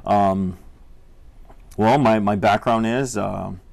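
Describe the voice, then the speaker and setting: A young man from Tonga, a state legislator answering interview questions in a halting way, full of fillers and restarts. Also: on the low side, fairly even intonation, creaky, darkish tone. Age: young adult. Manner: hesitant, many fillers and restarts. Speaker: legislator guest.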